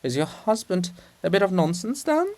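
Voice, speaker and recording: A man's voice: high-pitched, big pitch swings, normal speed, a little mellow, pitched above the performer's own voice. A man voicing the monument of a sardonic, nervous prince in a theatrical farce, mocking and exclamatory. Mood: mocking, indignant. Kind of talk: theatrical dramatic reading of a farce.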